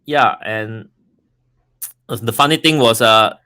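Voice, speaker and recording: A man from Singapore with a Singaporean accent talks as a guest on a casual podcast. His voice is low, and he is friendly, laughs easily and repeats words while he searches for the next thought. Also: takes it slowly, sharp tone, clean tone.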